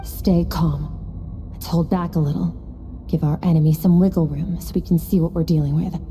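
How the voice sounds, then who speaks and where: Game casting: woman to voice aloof commanding swordswoman mentor, deep and very mellow, detached delivery, faint music underneath. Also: steady tone, natural voice. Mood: analytical, composed. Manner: detached, measured, imperious. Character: aloof, coolly commanding woman warrior mentor, stern and unsentimental. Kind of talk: video game voice acting.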